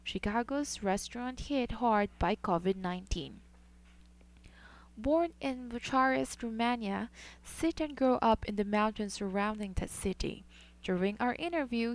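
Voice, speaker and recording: A woman narrating a scripted news report in a measured, even delivery. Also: a little high, low-quality recording.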